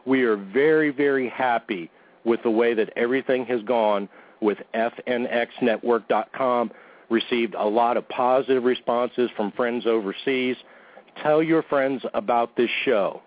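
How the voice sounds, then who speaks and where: A man's voice: mid-range voice, measured pace. Goofy male host on a tinny line. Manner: goofy, joking, self-deprecating. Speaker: podcast host. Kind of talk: casual radio-show banter and announcements.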